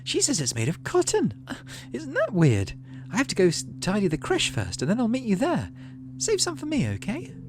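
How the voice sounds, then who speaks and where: A man voicing a sweet, shy little girl in a game, hesitant and gentle, eager to please. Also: high voice, huge pitch swings, moderate pace, voice pushed up. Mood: sweet, apologetic.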